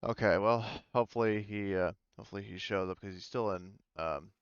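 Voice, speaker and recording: A man running a tabletop game as game master, narrating in a halting, hesitant way over a voice call. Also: on the low side, clear, resonant tone, no echo, highs cut off. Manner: halting, hesitant, pieces sentences together gradually. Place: online voice call.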